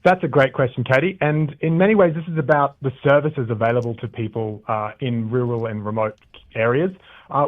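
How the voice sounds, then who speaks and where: A man, an election candidate, answering interview questions on the radio, stumbling and reading prepared talking points. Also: medium pitch. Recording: tinny phone line.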